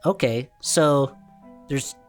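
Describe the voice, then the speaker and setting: A woman's voice: very deep voice, drawn-out words, soft background music. A woman co-hosting a comedy podcast in casual chat, with a wry, amused way of talking. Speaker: podcast co-host.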